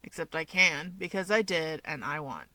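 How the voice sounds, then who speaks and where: A woman voicing a smug, sardonic young man who likes to needle his rival, deadpan and dismissive, in a fan fiction audiobook. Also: deep voice, normal speed, no echo, voice pushed down, low-quality recording.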